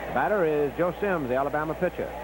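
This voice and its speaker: A man's voice: fairly high pitch, fast, heavy background noise. A man narrating baseball play-by-play in 1950s newsreel style, on an old recording.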